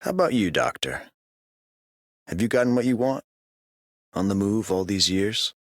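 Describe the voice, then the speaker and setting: A man's voice: fairly low pitch, lively intonation, moderate pace, no echo, clean studio sound. A man voicing a plainspoken, wistful wanderer in a video game, laconic and reflective. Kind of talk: video game character voice lines.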